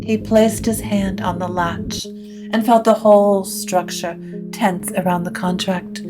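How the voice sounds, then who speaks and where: A woman narrating scripted supernatural fiction in a measured, literary storytelling manner. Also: medium pitch, fairly even intonation, music in the background.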